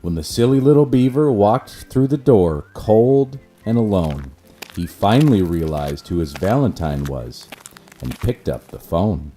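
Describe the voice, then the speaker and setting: A low-voiced man reads a rhyming children's story aloud at an unhurried pace. His delivery is expressive and swings freely in pitch, with soft music underneath. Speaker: narrator.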